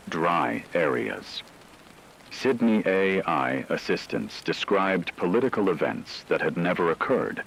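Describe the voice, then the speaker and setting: A man playing a grave radio news anchor, reading a scripted crisis bulletin in a measured, formal, serious way. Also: sits low, creaky, fairly bright tone, a little hiss or hum, exaggerated voice, rough recording.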